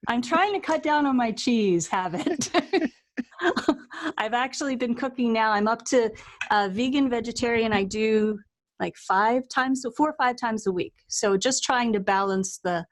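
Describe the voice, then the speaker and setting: A woman's voice: on the high side, a little slow. Chatty woman hosting a casual interview. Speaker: interview host and author.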